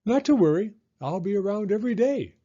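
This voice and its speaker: Guy doing a perky little girl bird teacher for a kids book, chirpy and high, bit of a dull recording. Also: animated, brisk, warm tone. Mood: insistent, upbeat. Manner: chirpy, exclamatory, enthusiastic. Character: cheerful, eager little female bird teacher. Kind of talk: children's picture book character voice.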